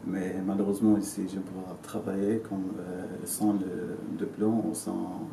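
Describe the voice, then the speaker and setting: A man in his twenties from Syria, speaking haltingly in French as an interviewee, full of fillers and restarts. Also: low voice, flat delivery, creaky. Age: 20s. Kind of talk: hesitant testimony in a learned language. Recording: roomy and noisy, from a distance.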